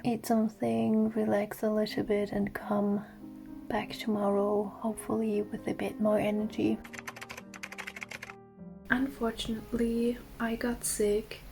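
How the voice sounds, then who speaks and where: A woman with a raspy voice, vlogging about her clay art in a soft-spoken way that often drops to a whisper. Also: medium pitch. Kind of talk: casual vlog narration.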